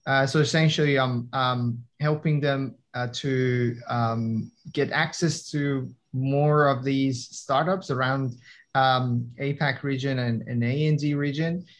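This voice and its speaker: A young man who is not a native English speaker answers interview questions. His voice sits at a middle pitch, and he talks hesitantly, filling gaps with pauses and fillers. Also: steady tone. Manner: hesitant, many fillers and restarts. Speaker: guest, tech entrepreneur. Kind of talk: conversational interview.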